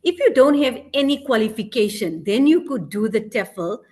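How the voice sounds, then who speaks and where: A woman's voice: a little high, expressive, moderate pace, darkish tone. A South African woman, a teacher working abroad, speaking in a casual podcast interview, hesitant and full of ums and "you know".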